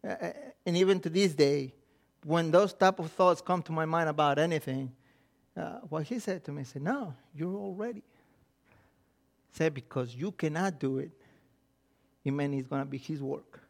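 A middle-aged man from Mexico with a Mexican accent, preaching a sermon and telling stories with lively quoted inner voices and frequent restarts. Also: a little high, moderate pace, gravelly, long pauses. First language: Spanish. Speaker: pastor.

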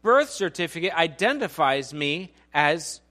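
High-voiced man in his forties preaching. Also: big pitch swings, a little slow, bright, forceful tone. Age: 40s. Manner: conversational, joking, quizzes the congregation. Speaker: pastor. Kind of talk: sermon. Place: church auditorium.

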